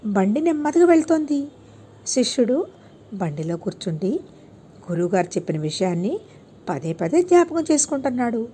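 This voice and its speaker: A woman's voice: on the high side, big pitch swings, moderate pace, pauses often, dark tone, slight background noise. A woman narrating a scripted moral tale for children as an audiobook storyteller.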